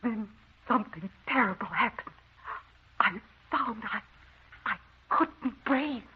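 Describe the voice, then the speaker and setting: Anxious haunted wife, muffled old radio. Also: female voice, on the high side, lively intonation, takes it slowly, husky, heavily acted. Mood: rising terror. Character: anxious, haunted wife recounting supernatural terrors. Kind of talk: old-time radio drama acting.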